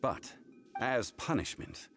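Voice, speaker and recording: An actor playing a calm, stern, dignified man in a video game, speaking in a formal, measured and solemn manner. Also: dry recording, soft background music. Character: calm, stern, dignified male consultant god of contracts. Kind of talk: scripted video game voice acting.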